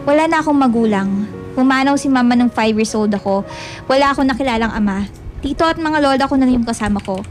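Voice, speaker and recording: A woman playing an earnest, scolding young female student in a radio drama, speaking with a Filipino accent and mixing in English. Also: high-pitched. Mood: pleading, hurt. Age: young adult. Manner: indignant, mixes Tagalog and English. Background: faint music.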